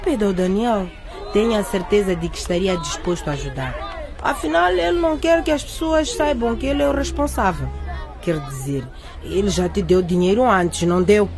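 A woman's voice: mid-range voice, moderate pace, over music, a crowd in the background, natural voice. A woman playing a worldly, cynical, bossy teenage schoolgirl and best friend in a radio drama. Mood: persuasive, pushy.

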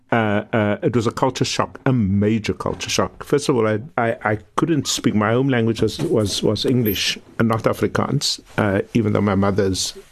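A man's voice: lowish voice, lively intonation, normal speed. A middle-aged South African man, a guest professor, telling his story in a reflective, earnest way with frequent restarts. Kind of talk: podcast interview.

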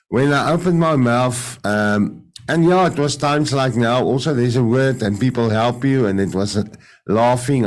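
A man's voice: medium pitch, a little mellow. A man with an Afrikaans accent whose first language is Afrikaans, giving a faith testimony on a video call and often stopping to search for English words. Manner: halting, searching for English words, many restarts. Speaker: radio presenter sharing testimony.

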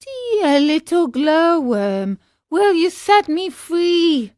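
A woman's voice: extremely high pitch, big pitch swings, extremely slow, darkish tone, dry recording, clean studio sound, voice pushed up, exaggerated voice. A woman voicing a frightened, tearful young wooden puppet boy from a children's storybook, wailing and pleading.

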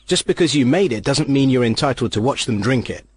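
A man's voice: mid-range voice, expressive, fairly quick, breathy edge. A man giving a comic mock-lecture and acting out the voices he quotes. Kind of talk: comic monologue.

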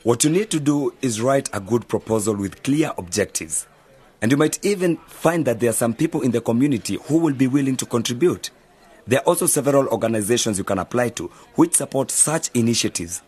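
A man playing a knowledgeable, easygoing mentor in an educational radio drama, explaining things in a measured, articulate way. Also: medium pitch, expressive, a little mellow, slightly rough. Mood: friendly, advising. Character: knowledgeable, easygoing male media mentor advising young friends.

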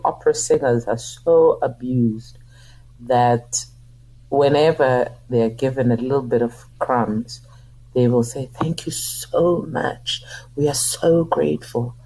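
Woman, from South Africa, middle-aged, husky, dark-toned, impassioned, radio guest. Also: rumbling low, poor audio. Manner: earnest, impassioned, speaks out against abuse. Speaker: opera singer and guest. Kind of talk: radio interview. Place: radio studio.

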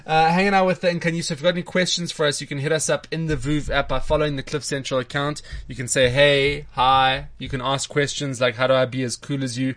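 A man's voice: fairly high pitch, level tone, fast talker, few pauses, a bit bright, no echo. Playful young male live-show host. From South Africa. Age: 20s. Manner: playful, jokey, fond of repeating himself. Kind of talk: live online talk show interview.

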